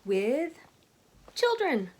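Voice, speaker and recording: A woman voicing a kindly school janitor in a storybook, calm and reassuring. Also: sits high, drawn-out words, voice pushed up, full character voice.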